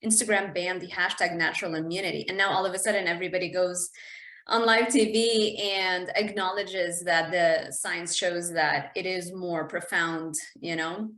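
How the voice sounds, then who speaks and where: A woman's voice: fairly low pitch, fairly even intonation, moderate pace, fairly bright tone. Woman podcast host in a roomy, echoing space. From Lebanon. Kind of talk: conversational podcast interview.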